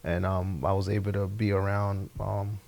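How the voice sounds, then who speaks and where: A young man with a Spanish accent, speaking English as a second language as a guest in a relaxed interview, halting and repeating his words. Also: low-pitched, creaky. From Honduras. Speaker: podcast guest, former soccer player. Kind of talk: casual interview chat.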